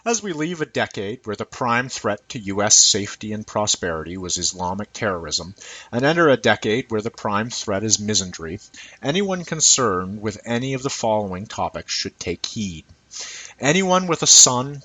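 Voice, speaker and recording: Male narrator for scripted essay reading; fairly low pitch, distinctly husky tone. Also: a bit bright, rough recording. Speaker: reader of an essay.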